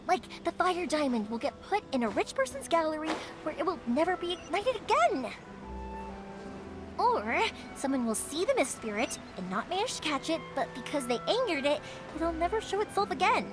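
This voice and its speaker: A bubbly young fireworks maker chats energetically in a very high voice that lilts up and down with enthusiasm. Game music plays clearly behind her. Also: normal speed. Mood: musing. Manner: energetic, chatty, patient with her father. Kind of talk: video game voice acting.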